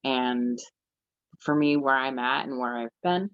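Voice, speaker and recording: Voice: medium pitch, a little slow, no room sound. Teen speaker, chatty earnest storytelling, dry muffled recording. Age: teens. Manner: chatty, earnest, lots of fillers. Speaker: panelist. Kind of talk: casual personal storytelling. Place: library event room.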